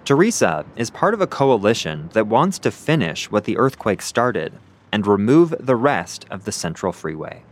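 Lively low-voiced male reporter. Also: normal speed. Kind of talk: scripted radio narration. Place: outdoors in a city park.

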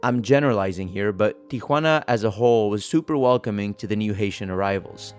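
Guy hosting a reported story, lowish voice, reading a script w soft music under it. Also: a little fast, smooth. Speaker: podcast host and reporter. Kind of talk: scripted narration. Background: music bed.

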